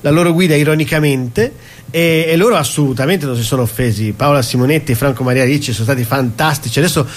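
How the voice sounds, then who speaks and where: A man's voice: medium pitch, fast. A man chatting as a guest on a radio show, talking in long run-on sentences and laughing often. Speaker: stage performer and guest. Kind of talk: casual radio chat. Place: radio studio.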